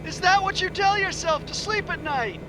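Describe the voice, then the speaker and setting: A man's voice: extremely high pitch, animated, moderate pace. Troubled male scientist, nervy, sardonic, audio drama, noisy vehicle ambience behind. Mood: nervous, bitter. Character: anxious, sarcastic male anthropologist tormented by visions.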